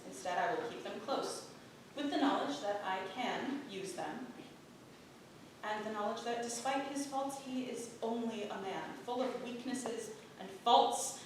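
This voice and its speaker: A woman playing a weary, resolute wife who guards secrets in a period stage play, speaking in a measured, earnest way. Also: natural voice. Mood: resolute, tender. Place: theatre stage. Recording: roomy echoing stage, distant mic, rumble.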